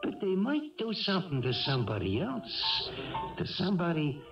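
An American man playing a sneering, menacing small-time crook in a 1970s radio drama, gravelly, slangy and taunting. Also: high voice, huge pitch swings, normal speed, recorded from a distance, exaggerated voice. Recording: dull lo-fi radio broadcast, music.

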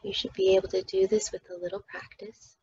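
A woman leading a guided meditation as its teacher, speaking soothingly and often dropping toward a breathy whisper. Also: mid-range voice, monotone, a little fast, mellow, dull audio.

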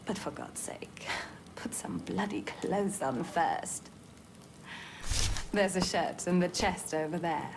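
An actress playing a wry, foul-mouthed British resistance agent posing as a nurse in a video game, brisk, dry and cheeky. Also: female voice, lowish voice, creaky, in a whisper. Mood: hushed, businesslike. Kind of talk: video game cutscene acting.

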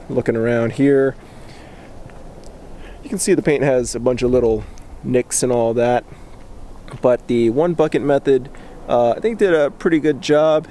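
A man's voice: medium pitch, a little hiss or hum. A man in his thirties hosting a casual how-to video about washing a car, talking plainly and practically in his garage. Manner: relaxed, plain-spoken, practical. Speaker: car care channel host. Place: home garage and parking lot.

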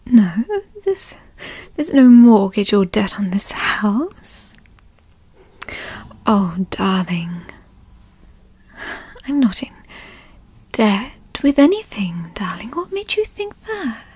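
A woman playing a doting, possessive yandere girlfriend who teases and coos in a soft, breathy ASMR roleplay whisper, close to the mic. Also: mid-range voice, sing-song, heavily acted. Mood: possessive, soothing. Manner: slow, breathy, cooing, teasing. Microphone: close microphone, dry.

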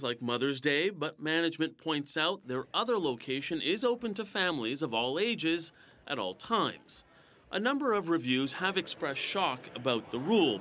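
Relaxed male news anchor. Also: on the high side, a little hiss or hum. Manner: relaxed, laughs along with guests. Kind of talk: news debate hosting.

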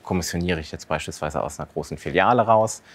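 A man's voice: low-pitched, fast talker. A man in his thirties explaining things as an expert panel guest, conversational and structured, posing rhetorical questions. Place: large dark indoor studio showroom.

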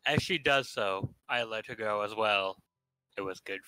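A man's voice: lowish voice, moderate pace, sharp tone, clear, resonant tone, dry recording, low-quality recording. A man narrating a visual novel in an informal staged reading, adding asides of his own.

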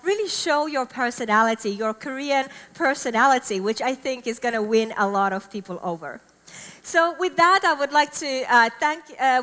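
A woman's voice: high-pitched, a bit bright. A Finnish woman in her thirties giving an enthusiastic keynote speech on a large conference stage with a headset mic, English not her first language. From Finland. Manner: enthusiastic, upbeat, gestures while talking. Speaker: conference speaker. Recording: long hall reverb.

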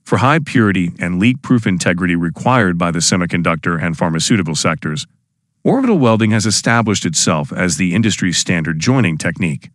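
Lively low-voiced male explainer narrator. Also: fairly quick, dry recording, studio quality. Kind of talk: scripted narration.

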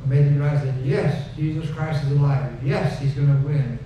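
Older pastor preaching in an echoey hall. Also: male voice, fairly high pitch, steady tone, moderate pace. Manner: folksy, conversational preaching with repeated phrases. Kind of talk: sermon and closing prayer. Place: wood-paneled church stage, indoors. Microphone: headset mic at a pulpit. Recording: echoey room, distant and dull, noisy.

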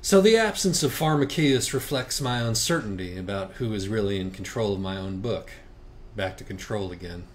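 A man's voice: fairly low pitch, lively intonation, moderate pace, lightly acted. A man playing a cerebral, self-critical young writer with a wry, hesitant manner in audiobook dialogue. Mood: wry, defensive.

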